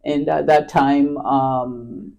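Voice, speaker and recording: A middle-aged woman from Kenya, a podcast guest talking in an unhurried, casual way, with lots of fillers and restarts. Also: low-pitched, fairly even intonation, creaky, warm tone, highs cut off. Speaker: podcast guest, real estate agent. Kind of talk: casual interview chat.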